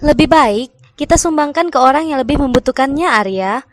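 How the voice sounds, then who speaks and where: A woman's voice: sits high, expressive, moderate pace, no echo, studio quality. A woman playing a kind, generous, peacemaking wife in a cartoon folktale, speaking earnestly and pleadingly. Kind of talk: cartoon folktale character acting.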